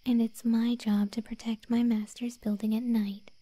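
A woman playing a protective gargoyle monster girl in an ASMR roleplay, speaking softly and breathily, close to the microphone. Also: a little high, little pitch movement, normal speed, no room sound, close to an everyday voice. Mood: stern, suspicious. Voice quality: soft, breathy, whispery. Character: protective gargoyle monster girl guard, stern then sweetly deferential. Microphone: close microphone, dry.

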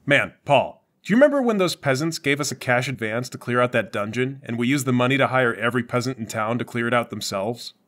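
Male roleplayer, very animated delivery, moving between joking table talk and theatrical in-character lines. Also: mid-range voice, fast talker, hardly any pauses. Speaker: player in a tabletop game. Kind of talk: casual comic banter and in-character roleplay.

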